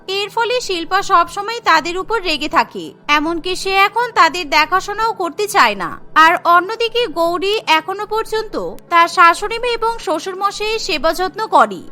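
Bright high-voiced woman narrating a cartoon. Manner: steady, explanatory storytelling. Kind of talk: scripted storybook narration.

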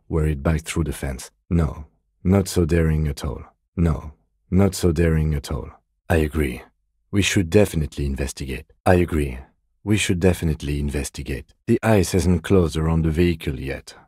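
An actor playing a calm, reserved police detective in a video game, speaking in a measured, precise, deadpan way. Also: male voice, very deep voice, slightly rough, no room sound, studio quality. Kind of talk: role-playing game dialogue.

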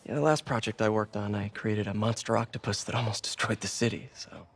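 A man's voice: lowish voice, a little fast. An actor in his twenties playing an awkward, kind young man in a video game, hesitant and stammering. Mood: sheepish, casual. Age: 20s. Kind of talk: naturalistic game cutscene acting.

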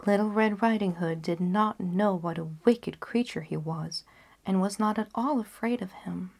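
A young adult woman reading a fairy tale aloud in an expressive storytelling style. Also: mid-range voice, moderate pace, creaky.

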